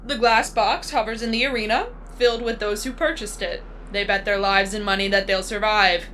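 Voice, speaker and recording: A woman's voice: medium pitch, fairly even intonation, moderate pace, bright, forceful tone, slight background noise, lightly acted. A woman playing a disembodied, coolly menacing female announcer of a deadly arena game in an audio drama, composed and instructive. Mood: condescending, detached. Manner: composed, instructive, matter-of-fact about death. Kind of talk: scripted audio drama acting.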